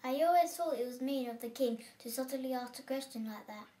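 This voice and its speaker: A bookish young girl at bedtime, played by a female actor, polite and a little cheeky. Her fairly high voice echoes in the room, slightly away from the mic. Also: a little fast, dark tone, recorded from a distance, slight background noise, natural voice. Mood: thoughtful. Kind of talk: amateur drama dialogue.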